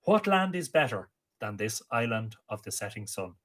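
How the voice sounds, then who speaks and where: A man's voice: on the low side, sing-song, moderate pace, a bit bright. A man reciting the boastful chant of an ancient male druid poet, in a declaiming, incantatory way.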